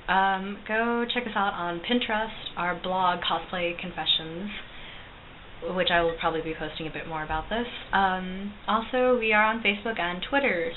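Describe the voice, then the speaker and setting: Chatty young woman on a tinny, hissy recording. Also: lowish voice. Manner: chatty, self-deprecating, many ums. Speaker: cosplay makeup vlogger. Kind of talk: casual makeup tutorial. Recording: tinny lo-fi recording with loud hiss.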